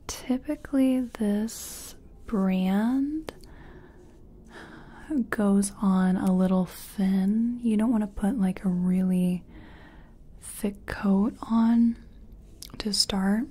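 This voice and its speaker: Female ASMR makeup-artist role: very breathy whisper, unhurried pace, gentle murmured personal attention. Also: mid-range voice. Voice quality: breathy, mostly unvoiced whisper. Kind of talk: soft-spoken whispered ASMR roleplay.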